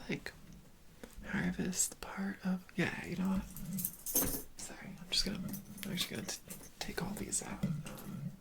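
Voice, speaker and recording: A woman whispers her way through an ASMR roleplay as a shy, awkward organ harvester. Her breathy voice often breaks into creaky fry. She talks haltingly, circling back to repeat phrases softly. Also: medium pitch. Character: awkward, shy female organ harvester prepping a living patient.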